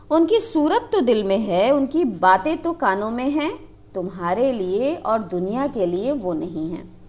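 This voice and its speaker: A woman's voice: fairly high pitch, dark tone. A woman voicing a devoted young village wife who speaks with passion and pleading. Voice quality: impassioned, lamenting.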